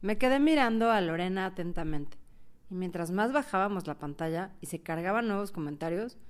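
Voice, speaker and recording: A woman's voice: medium pitch, natural voice. A woman playing a lovestruck, anxious young woman who tells of her heartbreak in a radio novela. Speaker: narrator and actress. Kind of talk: dramatic radio novela narration and acting.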